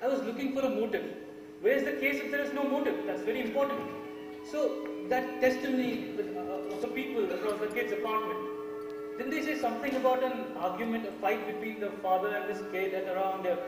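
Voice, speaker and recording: A man's voice: extremely high pitch, a little fast, loud music behind the voice. A young man with an Indian accent playing a hesitant, mild juror in an amateur stage play. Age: young adult. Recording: distant echoey hall, loud background sound.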